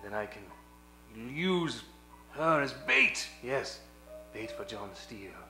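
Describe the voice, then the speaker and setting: Melodramatic radio villain, scheming and pompous, animated pitch, echoey room with music behind. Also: male voice, takes it slowly, full character voice. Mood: brooding scheming. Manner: melodramatic, muttering then bursting out. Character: scheming, pompous male villain who runs a burlesque diner. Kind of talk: comic old-time radio play acting.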